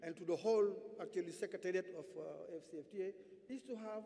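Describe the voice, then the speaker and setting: A man from Senegal moderating a conference panel from a podium in a large hall, emphatic and halting, with many fillers. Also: sits high, creaky, mellow. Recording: hall reverb.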